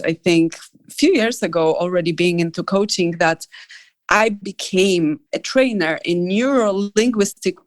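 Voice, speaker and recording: A woman's voice: medium pitch, no room sound. A woman from Poland with a Polish accent, a coach telling her story frankly and emphatically in English, her second language. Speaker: coach and trainer. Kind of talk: personal story in audio chat.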